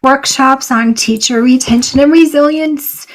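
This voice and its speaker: Hoarse middle-aged woman, podcast co-host, slightly high voice, upbeat and chatty. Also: low-quality recording. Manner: upbeat, chatty, quick to laugh. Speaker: podcast co-host, professor and researcher. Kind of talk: casual podcast chat.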